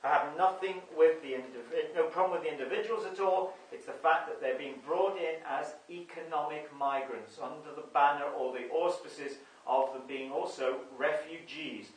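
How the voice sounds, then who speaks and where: A middle-aged man talking to camera from his living room sofa, earnest and measured, with many fillers and restarts. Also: on the high side. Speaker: video broadcaster. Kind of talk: scripted-free monologue to camera. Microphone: camera mic from across the room. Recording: echoey room, voice heard from a distance.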